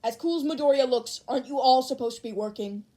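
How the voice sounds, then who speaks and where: A woman's voice: sits high, fast talker, warm tone, natural voice. An earnest teenage boy class president, voiced by a woman in an audiobook. He is formal, stern and indignant as he scolds. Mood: scolding. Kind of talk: fan fiction audiobook character reading.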